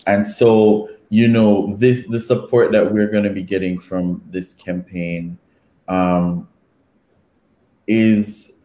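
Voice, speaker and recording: A man from Jamaica, speaking earnestly and in a measured way, with frequent "um", as a guest in a discussion. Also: low-pitched, warm tone. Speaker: guest, advocate. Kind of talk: conversational discussion. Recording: echoey room.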